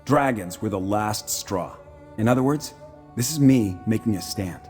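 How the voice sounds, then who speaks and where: An actor playing a proud, shrewd Imperial military commander in a video game, speaking formally and with dry self-assurance. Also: male voice, on the low side, lively intonation, moderate pace, a little mellow, natural voice. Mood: bargaining, composed. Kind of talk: video game character dialogue. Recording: music playing behind the voice.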